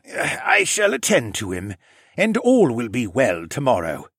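Man voicing a grave, rumbling reptilian warrior. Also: huge pitch swings, fairly bright tone, husky, no echo, clean studio sound, heavily acted. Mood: protective, calm. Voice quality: deep, rumbling. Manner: grave, formal, measured. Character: stoic, honor-bound reptilian dino man warrior regent.

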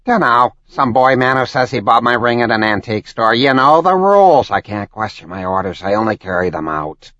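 A man voicing an excitable, chatty male demon servant who speaks eagerly with a lively, swinging delivery. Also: mid-range voice, a little fast, few pauses, dry recording, natural voice. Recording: dull, dry lo-fi audiobook sound.

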